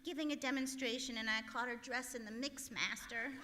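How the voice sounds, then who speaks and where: A woman's voice: on the high side, fairly even intonation, fairly quick, background noise, natural voice. A woman playing a scatterbrained, cheerful wife and saleswoman in 1940s-style radio comedy. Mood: bubbly, chirpy. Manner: scatterbrained, theatrical. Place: live stage in a museum hall. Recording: hall reverb, live stage performance.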